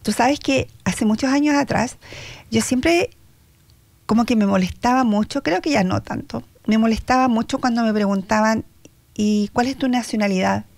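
A woman's voice: on the high side, moderate pace, gravelly, a little mellow, no room sound. An older woman with a Chilean accent hosting a chatty Spanish-language radio talk show, asking questions with lots of fillers. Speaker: radio host. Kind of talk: casual radio interview. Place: radio studio.

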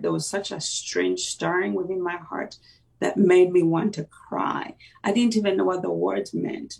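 Gravelly voice, halting. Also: medium pitch, a little mellow, far from the mic, poor audio. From Uganda. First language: Luganda. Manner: reflective, halting, with many fillers and restarts. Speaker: interview guest. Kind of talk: personal faith testimony in conversation.

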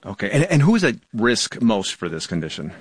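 A man's voice: on the low side, big pitch swings, brisk, husky, no echo, clean studio sound. A man hosting a radio news interview, putting questions to a guest.

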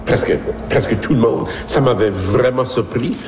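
A man in his fifties with an Acadian French accent from Prince Edward Island, speaking reflectively and haltingly to a community group. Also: mid-range voice, darkish tone. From Evangeline region, Prince Edward Island, Canada. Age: 50s. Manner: reflective, halting, rephrases as he goes. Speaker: school board employee, former principal. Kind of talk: informal speech at a community discussion. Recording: echoey hall, faint background noise.

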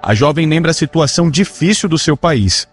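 A man's voice: a little high, breathy edge, faint music behind. Man reading a news report. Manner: measured, even reporter's read. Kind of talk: scripted news narration.

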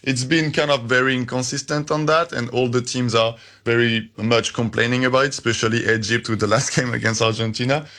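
A man answers questions as a football supporter in a live interview. His voice sits at a middle pitch and his talk is casual and conversational. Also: little pitch movement, brisk, hardly any pauses, a bit bright. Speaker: French football supporter guest.

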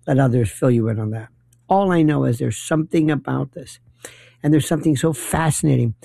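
An older man hosting a late-night call-in radio show, his voice gravelly and mellow. He rambles from thought to thought with opinionated, animated asides. The sound is dry and close, from a studio mic. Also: mid-range voice, a little fast, dry recording. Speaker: radio talk show host.

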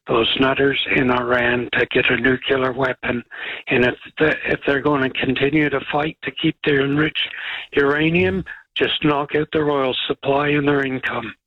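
A man's voice: medium pitch, no echo. A man calling a radio show by phone, giving opinions in blunt, declarative sentences. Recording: dull lo-fi phone call.

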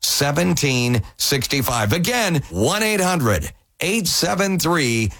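A man's voice: on the high side, sing-song, slow, a bit bright. Upbeat male radio infomercial host. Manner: upbeat, pushy salesman. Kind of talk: radio sales pitch.

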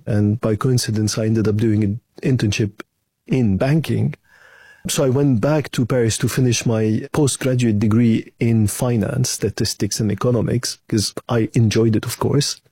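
A middle-aged man from Lebanon, a bank chief executive answering interview questions in a measured, thoughtful way with some fillers. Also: mid-range voice, dark tone, husky, no room sound.

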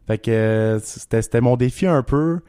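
A young man with a Québécois French accent, a country singer telling about his songs in a relaxed, halting radio chat with many restarts. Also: medium pitch, clear, resonant tone, no echo, clean studio sound. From Quebec. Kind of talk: casual radio interview.

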